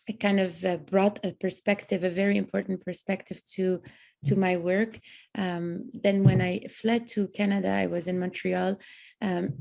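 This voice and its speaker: A woman from Lebanon speaks on a panel in an earnest, reflective way and often stops to search for words. Her voice is dark and mellow with a gravelly fry. Her pitch stays quite flat. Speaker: panelist, founder of an organization. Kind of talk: online panel talk.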